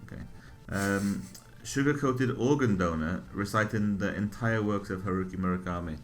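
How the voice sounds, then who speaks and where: A man co-hosting a podcast, reading absurd items in a flat, deadpan way. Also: fairly low pitch, normal speed, faint music behind. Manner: deadpan, reads absurd menu items flatly. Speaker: podcast co-host. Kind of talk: deadpan comedic chat.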